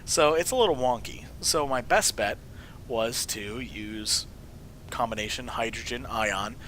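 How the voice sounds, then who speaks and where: A man with a cold talking casually through his builds in a game, his voice hoarse and sometimes fading to a whisper, rambling and correcting himself as he goes. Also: medium pitch, measured pace, a bit bright, slight background noise. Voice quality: hoarse, dropping to a whisper at times. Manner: rambling, self-correcting, jokey. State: voice mostly gone from illness, sniffling. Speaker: video host showing game builds. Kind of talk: casual gameplay walkthrough.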